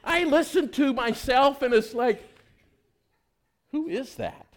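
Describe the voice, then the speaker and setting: A man's voice: extremely high pitch, animated, slow. A male pastor giving a conversational sermon, reading scripture and asking the congregation rhetorical questions.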